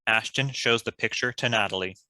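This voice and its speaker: Very bright, crisp-edged male narrator, lowish voice. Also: brisk, dry recording, low-quality recording. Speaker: narrator reading stage directions. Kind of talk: scripted narration.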